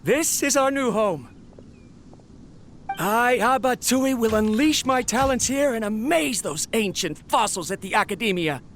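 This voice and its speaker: A man's voice: very high voice, lively intonation, measured pace, full character voice. A theatrical male inventor from a video game, ambitious and obsessive. He speaks grandly, like a lonely eccentric absorbed in his work. A faint layer of ambient noise sits behind the voice. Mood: eager, boastful. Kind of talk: video game character monologue.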